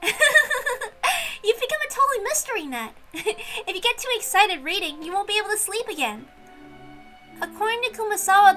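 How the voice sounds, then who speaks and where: A woman voicing an excitable, playful young servant with a girlish manner in a visual novel dub, over music. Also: extremely high pitch, pitched above the performer's own voice, full character voice. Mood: teasing, cheerful.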